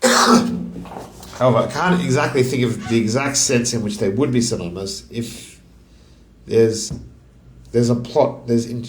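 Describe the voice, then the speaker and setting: A man thinks aloud in a casual, musing, self-questioning way as he solves puzzles, his voice sitting deeper than usual. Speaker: crossword solver and video host. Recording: echoey room.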